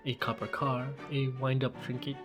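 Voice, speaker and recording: A man's voice: medium pitch, level tone, moderate pace, over music. A man narrating a story in a conversational, informal way with wry asides.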